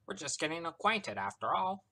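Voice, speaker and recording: Guy doing a smug trickster dude for a story, sickly sweet teasing, higher pitched, swoops around a lot. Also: big pitch swings, moderate pace, bright, forceful tone. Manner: theatrical, teasing, over-the-top sweetness. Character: smug mischievous male trickster, mockingly sweet and theatrical. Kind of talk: character voice in narrated story.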